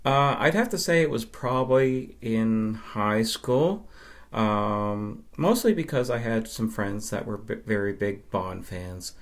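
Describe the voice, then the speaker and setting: A man from Nova Scotia, answering interview questions as a podcast guest in a measured, explanatory way with pauses. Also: on the low side. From Nova Scotia, Canada. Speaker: podcast guest, film fan.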